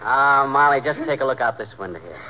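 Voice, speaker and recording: A man's voice: mid-range voice, lively intonation, fast talker, close to an everyday voice. A man with an American accent playing a blustery, cocksure, argumentative husband in colloquial 1940s radio comedy style. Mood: eager, bossy. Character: blustery, cocksure, argumentative husband and small-town know-it-all. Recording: dull, noisy 1940s radio recording.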